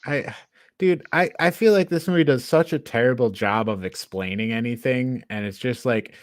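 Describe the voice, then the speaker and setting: A man's voice: mid-range voice, moderate pace, no room sound, clean studio sound. A man co-hosting a casual film review podcast, talking in an exasperated, emphatic, slangy way. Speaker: podcast co-host.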